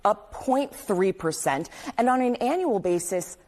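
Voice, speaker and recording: A woman's voice: mid-range voice, lively intonation, measured pace, darkish tone. A woman reporting business news live, explaining economic figures in an emphatic way.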